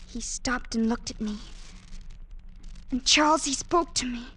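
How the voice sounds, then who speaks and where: A woman's voice: a little high, unhurried, bright, forceful tone, slightly rough, exaggerated voice, rough recording. An actress playing a dreamy, fearful young woman in love, in a vintage radio drama.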